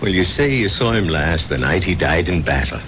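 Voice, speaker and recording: A genial barkeeper in a vintage radio drama. His low voice rises and falls freely, gentle and philosophical in manner. The recording is dull, with clear background noise. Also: animated, normal speed. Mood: concerned. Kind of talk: 1970s radio drama acting. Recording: dull, noisy old radio broadcast.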